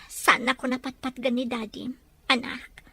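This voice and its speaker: A woman's voice: sits high, level tone, moderate pace, creaky, bright, forceful tone, husky, full character voice. A woman playing a worried young mother, tender with her child. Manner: anxious, tender.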